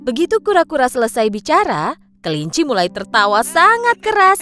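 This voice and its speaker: A woman narrating a scripted children's fable in an expressive storytelling style. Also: high-pitched, brisk, soft background music.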